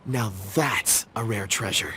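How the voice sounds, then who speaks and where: A man voicing a courteous, enthusiastic young rock connoisseur in a video game, eloquent and expressive. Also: lowish voice, slow pace, husky, full character voice. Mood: reverent. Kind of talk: video game character acting. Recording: music behind the voice.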